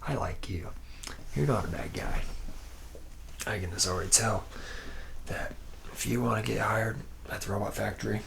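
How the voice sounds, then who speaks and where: A man's voice: lowish voice, moderate pace, creaky, pauses often, a bit bright, a little hiss or hum. A man playing a laid-back, boozy, womanizing factory worker from the future in an ASMR roleplay, rambling slangily and often dropping into a whisper. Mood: chummy, boozy.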